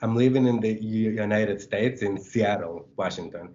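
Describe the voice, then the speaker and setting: A man's voice: lowish voice, moderate pace, mellow. A man from El Salvador, a guest on an interview show, talking about his teaching in a friendly, articulate and enthusiastic way. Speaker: guest, computer science instructor. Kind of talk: casual interview. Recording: dull lo-fi audio.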